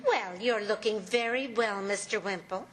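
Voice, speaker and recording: An actress playing a teasing, folksy, affectionate wife with a husky voice in old-time radio comedy. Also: female voice, mid-range voice, normal speed, a bit bright, close to an everyday voice. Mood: cheerful, folksy.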